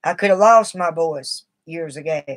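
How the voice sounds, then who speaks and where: A woman's voice: low-pitched, normal speed. A middle-aged woman, talking earnestly and at length on a live stream while shaky from nicotine withdrawal. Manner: rambling, earnest and confiding. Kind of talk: casual live stream talk.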